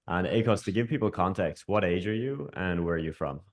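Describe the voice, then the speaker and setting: A man hosting a casual interview over a video call, upbeat and friendly, often calling his guest "man". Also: sits low. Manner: upbeat, quick, says "man" a lot. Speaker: host and coach.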